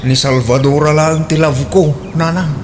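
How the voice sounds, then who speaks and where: A man's voice: a little high, fairly even intonation, moderate pace, slight background noise, close to an everyday voice. A man acting a stubborn, teasing older father in a radio drama, speaking with an Ilocano accent. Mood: grumbling. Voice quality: blustering, swooping questions.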